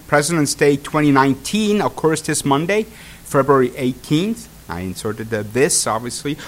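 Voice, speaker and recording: A traveling pastor with a Swiss accent giving a sermon, talking in halting bursts full of fillers and restarts, then reading prepared text aloud. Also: male voice, mid-range voice. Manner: hesitant, many fillers and restarts. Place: congregation hall.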